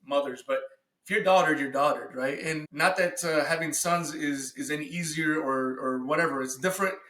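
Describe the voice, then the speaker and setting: A man hosts a podcast in a relaxed conversational style. His voice is a little higher than average, and the recording has a clear room echo. He speaks informally and appreciatively. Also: moderate pace. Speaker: podcast host, father. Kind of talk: casual podcast chat. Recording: roomy, echoing sound.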